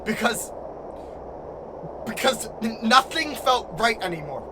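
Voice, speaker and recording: A man playing a lonely, guilt-ridden, desperate figure in an audio drama, halting and repeating himself as he pleads. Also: very high-pitched, very animated, exaggerated voice. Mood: desperate, confessing. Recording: clear background noise and ambience.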